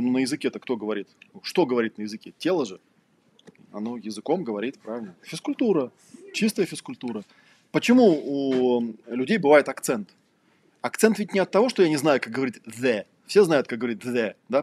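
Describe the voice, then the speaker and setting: Male lecturer, rapid delivery, husky tone, informal and rambling, wry storyteller. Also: mid-range voice, darkish tone. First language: Russian. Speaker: trainer, lecturer. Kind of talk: informal lecture with anecdotes and examples.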